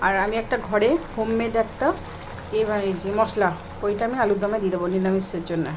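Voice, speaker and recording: A woman's voice: mid-range voice, steady tone, fast. Bengali woman cooking amid noisy sizzle. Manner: step-by-step narration of own actions. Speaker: home cook vlogger. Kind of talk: casual cooking demonstration. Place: home kitchen, indoors. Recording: noisy home kitchen, frying and sizzling. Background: frying, sizzle, cooking noise.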